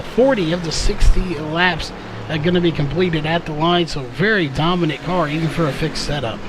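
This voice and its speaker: A man's voice: high voice, background noise. A man doing live play-by-play race commentary, full of fillers and self-corrections, his voice a little worn. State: slightly losing his voice.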